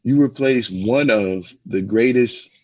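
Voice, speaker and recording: A man's voice: medium pitch, slow, highs cut off. A man co-hosting a sports talk show, losing his voice, emphatic and repeating his points as he gives score updates.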